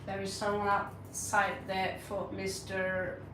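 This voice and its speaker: A woman's voice: mid-range voice, monotone, slow pace. Norwegian accent lady doing a tarot stream, blunt and sarcastic, kinda far from the mic, roomy and noisy. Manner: blunt, scolding, sarcastic asides to chat. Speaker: tarot reader livestream host. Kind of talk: casual live-stream chat answering viewers. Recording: echoey room, mic set back, audible noise.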